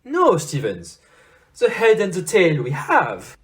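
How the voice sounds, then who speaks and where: An actor playing a courteous, shrewd foreign detective in an audio play, speaking formally with French exclamations. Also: male voice, high-pitched, wildly swooping pitch, slow, full character voice. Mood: confident, shrewd.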